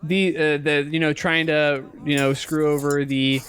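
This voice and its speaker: A man in his thirties co-hosting a casual podcast chat, speaking haltingly and often repeating words as he works out a thought. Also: fairly high pitch. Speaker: podcast co-host. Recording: clicks and steady background noise.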